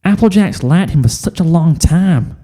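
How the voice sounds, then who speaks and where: A man voicing an excitable, curious young country girl pony, eager and gushing, in a storybook reading. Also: a little high, normal speed, in a large hall, voice pushed up. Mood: cheerful.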